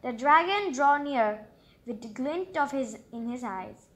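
A woman reads a picture book aloud in a very high, lively voice that swings up and down. She is excitable and exclaims often, dropping in chatty, childlike asides as she hosts. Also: unhurried. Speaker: storybook reader and channel host.

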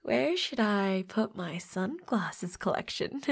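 Woman acting a lively, breathy vampire roommate. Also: medium pitch, slow, full character voice. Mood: exasperated. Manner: stammering, playful, breathy asides in whispers. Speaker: voice actor. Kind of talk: flirty audio roleplay acting.

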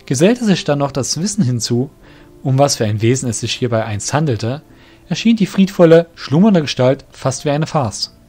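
A man's voice: medium pitch, lively intonation, normal speed. A man reading scripted narration for a fantasy audio drama. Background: soft music.

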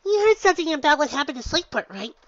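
A woman voicing a bubbly, excitable young female pony with a squeaky, overeager voice. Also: rapid-fire, no echo. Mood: cheerful. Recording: dull, dry lo-fi recording.

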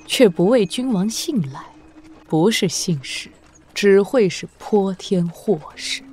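A woman's voice: medium pitch, a little mellow, a little hiss or hum. Imperious, sardonic woman sovereign. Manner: deliberate, sardonic, theatrical delivery. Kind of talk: Chinese wuxia audio drama acting.

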